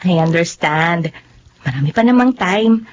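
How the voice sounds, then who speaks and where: A woman acting an understanding, gently teasing young girlfriend in a radio drama. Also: on the low side, expressive, a little slow, slight background noise. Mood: sweet, understanding.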